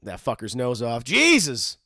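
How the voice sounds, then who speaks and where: A man's voice: medium pitch, wildly swooping pitch, unhurried, sharp tone, no echo, studio quality. A man casually joking through a cartoon episode commentary, often mock-voicing the characters. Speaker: commentary host.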